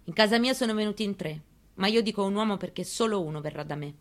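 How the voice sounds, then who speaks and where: A woman's voice: mid-range voice, fast, close to an everyday voice. A woman performing a dramatic reading as a grieving, defiant elderly mother, telling her story with pointed rhetorical questions. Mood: grieving, resolute. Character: grieving elderly Italian mother, bitter, defiant, searching for answers. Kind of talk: dramatic stage reading of a memoir, monologue.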